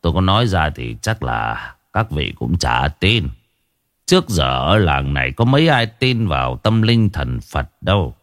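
Man doing a slow gentle old village man. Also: a bass voice, sing-song, no echo, clean studio sound, full character voice. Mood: resigned. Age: older.

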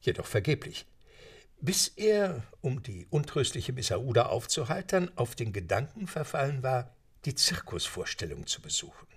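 A man's voice: medium pitch, big pitch swings, moderate pace. A man narrating an adventure story in a radio play, composed and lightly wry, in scripted style. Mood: composed, confiding.

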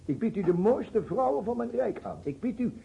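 A man's voice: sits high, very animated, normal speed, creaky, mellow, muffled recording, a little hiss or hum, heavily acted. A man acting in a radio play as an agitated, pleading boyar ruler who repeats himself and speaks effusively. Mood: earnest pleading. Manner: effusive, repeats himself, swears earnest oaths. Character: agitated, pleading male boyar ruler of a war-torn realm.

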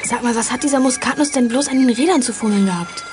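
A woman's voice: on the high side, fast, over music, natural voice. A female actor playing a curious, eager girl detective in a children's audio play.

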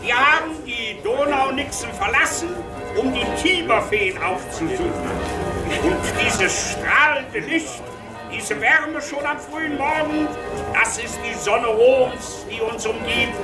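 An actress playing a bossy, grandly effusive pilgrimage guide in a radio play, declamatory like a schoolmistress. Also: female voice, fairly high pitch, slow pace. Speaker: tour guide. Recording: echoey, distant, music behind.

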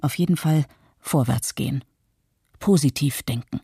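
A man playing a pensive disaster witness with a husky, creaky voice, narrating in a radio play. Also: mid-range voice, measured pace. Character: pensive islander resolved to stay positive after disaster. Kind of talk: scripted first-person narration in a radio documentary play.

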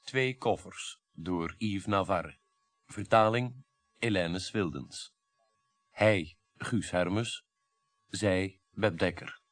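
Radio drama announcer, man, formal credit reading, very unhurried, dry sound. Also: low-pitched, no room sound.

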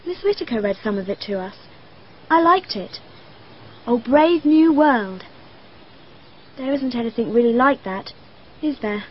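A precocious, dreamy 12-year-old girl talks about dark things with calm earnestness. Her voice is high and very lively in pitch. The archive radio sound is dull with faint hiss. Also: a little slow, long pauses. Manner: earnest, matter-of-fact, odd.

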